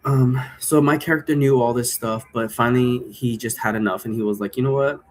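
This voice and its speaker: A man's voice: mid-range voice, fairly even intonation, a little fast, poor audio. A man giving a rambling, informal explanation, thinking aloud and wandering between points. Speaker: game creator explaining role-play rules. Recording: noticeable background noise.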